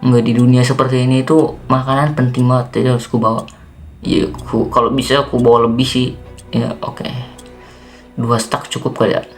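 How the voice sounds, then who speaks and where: Man acting, young zombie survivor, game roleplay, weary, jumpy, self-muttering, exclaiming, slangy, medium pitch, background music. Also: flat delivery, normal speed, natural voice. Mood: muttering, planning. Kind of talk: improvised Minecraft roleplay, talking to himself.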